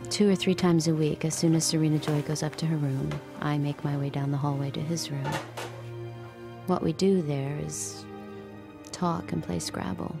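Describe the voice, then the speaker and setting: An actress playing a subdued, wary young handmaid who also narrates, in a restrained audio drama style. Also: female voice, deep voice, fairly even intonation, normal speed, creaky, loud music behind the voice. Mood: confiding narration. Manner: guarded, restrained.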